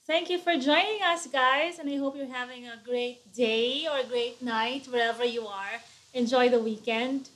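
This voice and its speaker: A woman co-hosting a live stream, welcoming viewers by name. Her voice sits slightly high. She talks in an easy, conversational way and often uses "like" as filler. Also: fairly even intonation, normal speed, a bit bright. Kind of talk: casual live chat greeting viewers.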